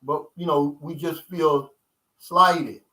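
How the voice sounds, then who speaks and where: A man's voice: on the high side, steady tone, slow, pushed and bright, poor audio. A man in his fifties hosting a live stream, reading viewers' comments and answering them in loose, streetwise talk. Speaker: vlogger reading live chat. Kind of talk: casual live-stream chat.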